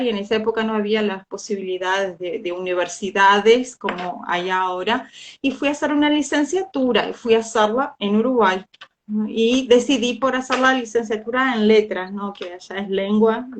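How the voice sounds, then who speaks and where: A woman's voice: fairly high pitch, moderate pace, a little hiss or hum. A woman from Brazil, a native Portuguese speaker, talking as a guest in a casual interview, with frequent laughter and hesitant fillers. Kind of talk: casual conversational storytelling.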